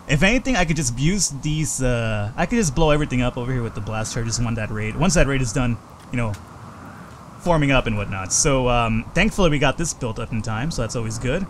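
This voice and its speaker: A man casually commenting on his strategy game as he plays, talking to his units and swearing now and then, his voice a little hoarse. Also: medium pitch, slight background noise. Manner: chatty, swears when frustrated. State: slightly hoarse. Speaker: let's play host.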